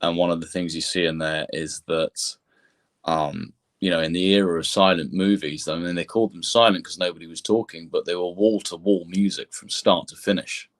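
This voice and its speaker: A man's voice: low voice, fairly even intonation, normal speed. A man giving discursive explanations as an interview guest, often restarting and repeating words as he goes. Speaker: composer and sound engineer, interview guest.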